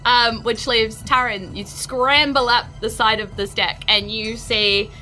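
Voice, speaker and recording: A woman's voice: a little high, steady tone, a little slow, pushed and bright, faint music behind, low-quality recording. A woman with a New Zealand accent improvising narration as game master of a tabletop role-playing game.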